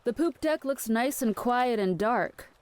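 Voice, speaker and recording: An actress playing an earnest, pedantic woman researcher with fringe theories in a scripted audio drama, speaking in a measured, matter-of-fact way. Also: fairly high pitch, animated, darkish tone. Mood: dry, irritated.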